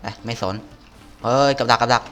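Bright-voiced man commentating a game. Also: fairly quick, faint music behind. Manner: exclamatory, slangy, talking to himself. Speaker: gaming video host playing through a game. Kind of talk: casual gameplay commentary.

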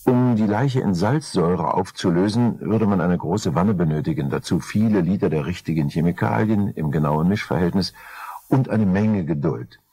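An older man narrating a crime story in a measured, dry, darkly ironic way, his voice a little husky. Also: low-pitched, mellow, no room sound. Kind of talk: scripted crime audiobook narration.

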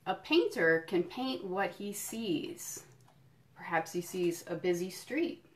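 A woman doing a children's storytime, reading a picture book in deliberate phrases and explaining things simply to young listeners. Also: fairly low pitch, animated. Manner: addresses young listeners directly with questions and encouragement. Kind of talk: children's storytime read-aloud with friendly asides.

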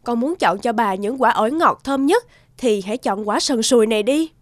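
A woman voicing a gentle, wise young mother in a children's cartoon. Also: on the high side, animated, moderate pace, dry recording, studio quality, natural voice. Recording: music behind the voice.

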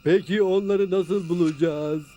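High-voiced, earnest pioneer husband. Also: male voice, fairly even intonation, measured pace, warm tone, soft background music. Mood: worried. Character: earnest, mild pioneer husband, grateful and devoted. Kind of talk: dubbed cartoon acting.

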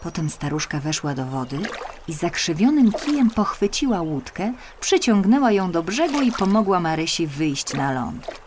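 A woman narrating a scripted children's story in an expressive, careful storytelling manner. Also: on the low side, moderate pace, noisy background. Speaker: audiobook narrator.

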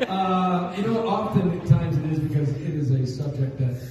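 A man in his forties trading quick, teasing quips on a convention panel with a handheld mic. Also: fairly high pitch, animated, muffled recording, background noise. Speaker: panel guest, podcast co-host. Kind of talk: casual panel banter. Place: large convention hall stage. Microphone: handheld mic, filmed from the audience. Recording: distant echoey hall, loud crowd.

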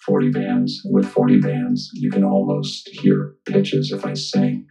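A man's voice: measured pace, dark tone, soft background music, poor audio. A man giving an informal tutorial demonstration, his voice turned robotic by a synthesizer vocoder. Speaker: tutorial presenter.

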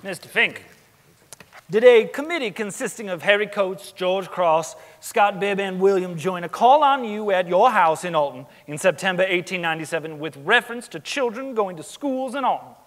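A man's voice: sits high, expressive, moderate pace, a bit bright. A young man playing a sceptical 1890s trial lawyer in a dramatic stage reading, questioning in a pointed, insistent way. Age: young adult. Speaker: student actor in a courtroom reading. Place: large theatre stage, indoors. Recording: echoey theatre hall.

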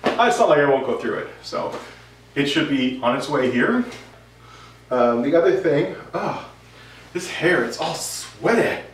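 A man's voice: fairly high pitch, animated, a little slow. A man in his thirties giving a casual, rambling update to camera as the host of a woodworking vlog. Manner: rambling, conversational. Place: home workshop, indoors. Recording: roomy, distant, noisy workshop recording.

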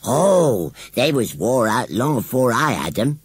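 Grumbling, drawling rustic scarecrow. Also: male voice, a little high, very animated, slightly rough, no room sound, voice pushed up, exaggerated voice. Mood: proud. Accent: rural English. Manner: grumbling, drawn-out, indignant rustic speech. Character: sulky, proud old rustic male scarecrow.

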